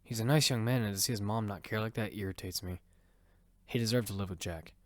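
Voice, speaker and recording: A man playing a gentle, sentimental father and narrating his story in an earnest, plain-spoken way for an audio drama. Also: lowish voice, fast, no room sound, lightly acted. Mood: wistful, earnest. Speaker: narrator and lead character. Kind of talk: first-person narration in amateur audio fiction.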